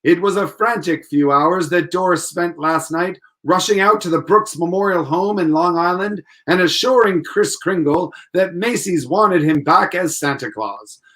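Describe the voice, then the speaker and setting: A man's voice: sits high, normal speed, hardly any pauses, fairly bright tone. A man playing an upbeat, polished 1940s radio announcer, hearty and salesmanlike as he presents and narrates. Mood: storytelling.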